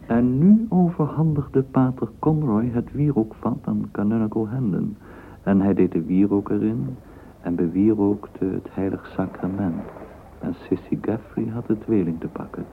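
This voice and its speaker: A man's voice: lowish voice, unhurried, vocal fry. A man narrating a radio drama as a storyteller, reading flowery literary prose. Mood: measured, narrating. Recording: tinny, hissy old radio recording.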